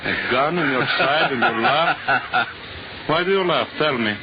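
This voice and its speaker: A man's voice: mid-range voice, animated, unhurried, close to an everyday voice. A man acts out a sinister, megalomaniac doctor from radio's golden age. His speech is formal and theatrically intense, leaping widely up and down. The recording is old and muffled, with light noise behind it. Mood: cold, menacing. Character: menacing megalomaniac male doctor with a secret speed potion. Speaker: actor. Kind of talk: old-time radio thriller acting.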